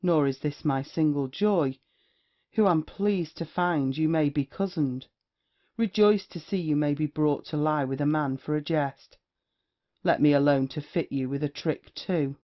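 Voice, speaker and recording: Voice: medium pitch, a little slow, close to an everyday voice. An actor as roguish Restoration gallant, gloating, theatrical, dull lo-fi recording. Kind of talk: Restoration comedy audio play acting.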